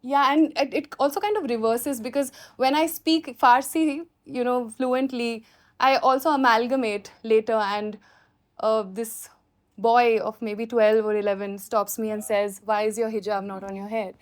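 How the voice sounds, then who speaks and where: A woman filmmaker with an Indian accent answers questions off the cuff in a high-pitched voice. She explains through animated anecdotes, recounting what people said and did. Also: measured pace. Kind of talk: spontaneous question-and-answer talk.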